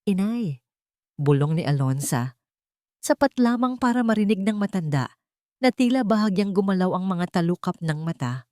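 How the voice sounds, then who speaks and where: Lady storyteller doing dramatic love story narration, lower voice, no room echo. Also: lively intonation, normal speed, a little mellow, studio quality.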